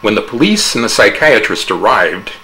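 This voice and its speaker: A male narrator delivers a humorous fable with theatrical flair and measured phrasing. His voice is bright and very lively in its intonation, recorded with a faint hum behind it. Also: fairly low pitch, poor audio. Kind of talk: dramatic oral interpretation of a humorous fable.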